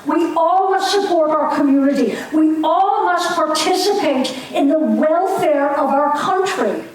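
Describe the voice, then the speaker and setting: Casual: older lady playing a teasing young irish nationalist, earnest, high voice, echoey room from far away. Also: a little slow. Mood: fervent. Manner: earnest, needling, preachy. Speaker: community theater actor. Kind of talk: amateur stage reading. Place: community stage hall. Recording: echoey hall, mic far off, faint hiss.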